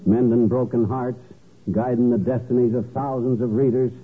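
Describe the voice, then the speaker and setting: An actor playing a boastful, childlike middle-aged husband, folksy and self-important, in an old radio comedy. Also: male voice, mid-range voice, fairly even intonation, normal speed, husky, close to an everyday voice. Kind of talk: 1940s-50s radio comedy acting. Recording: tinny, band-limited old radio broadcast.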